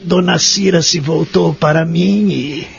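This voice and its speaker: An actor playing a humble, lonely elderly Brazilian man in a radio drama, formal, sincere and deliberate. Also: a little high, vocal fry, soft background music. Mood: reflective. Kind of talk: radio drama acting and narration. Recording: dull lo-fi audio drama recording.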